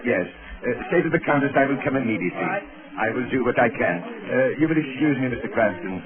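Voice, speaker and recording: Guy playing a polite scholarly foreign doctor, formal and measured, tinny 30s radio w crowd murmur. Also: mid-range voice, animated, lightly acted. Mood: concerned, polite. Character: courteous, learned foreign psychiatrist, a gentleman doctor. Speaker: radio actor. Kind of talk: 1930s radio drama acting.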